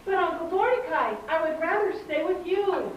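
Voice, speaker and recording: A woman voicing a gentle, dutiful young maiden in an amateur puppet show. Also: very high voice, animated, moderate pace. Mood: meek, obedient. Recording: echoey hall, distant dull mic, faint noise.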